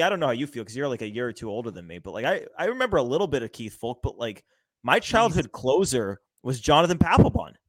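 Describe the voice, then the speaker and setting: A man's voice: mid-range voice, no room sound. A man in his late twenties hosting a sports podcast, chatting casually and rambling with lots of fillers. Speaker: podcast host.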